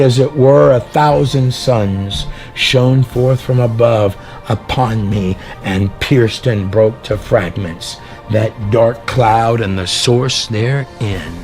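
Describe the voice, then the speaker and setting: Male preacher-storyteller, lowish voice, theatrical emphatic delivery of sermon and prophecy, faint music underneath. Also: a little mellow. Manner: theatrical, emphatic, drawn-out dramatic phrasing with rhetorical questions. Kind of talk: dramatic sermon with read-aloud prophecy. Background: background music.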